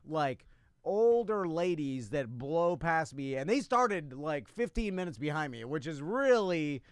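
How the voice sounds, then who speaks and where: A man with a clear, fairly high voice, recorded dry and close. He tells a personal story in casual chat, putting himself down as he goes. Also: sing-song, measured pace, no room sound. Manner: self-deprecating. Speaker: podcast host.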